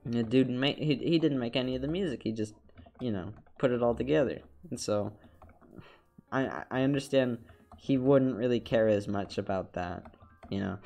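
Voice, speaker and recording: A man's voice: mid-range voice, darkish tone. A young man with a congested, nasal voice chatting casually as a streamer while he animates, rambling with repeated words and laughs.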